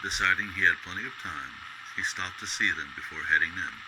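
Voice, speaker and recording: A man's voice: sits low, brisk. A man reading scripted, storybook-style narration for a radio drama. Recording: clearly noisy background.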